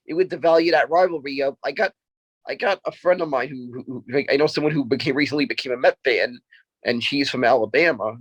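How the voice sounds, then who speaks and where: A man's voice: mid-range voice, quick pace, husky, dry recording, lo-fi sound. A man in his thirties co-hosting a sports podcast, talking casually and often repeating himself as he makes a point. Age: 30s.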